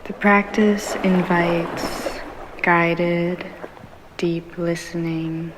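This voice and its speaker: Very slow, soothing woman guiding meditation. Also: on the low side, little pitch movement, background noise. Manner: soothing, unhurried with long pauses between short phrases. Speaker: guided meditation instructor. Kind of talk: guided yoga nidra meditation, ASMR. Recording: waves and rain sound clearly behind. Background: ambient ocean waves and rain.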